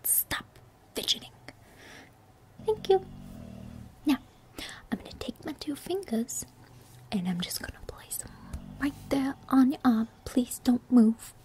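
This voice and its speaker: A woman playing a bossy, teasing young villain's sidekick as an exam assistant, in a breathy, whispered ASMR roleplay. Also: high voice, very animated, a little slow, long pauses, darkish tone. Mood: bossy, coy. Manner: coy, scolding, teasing.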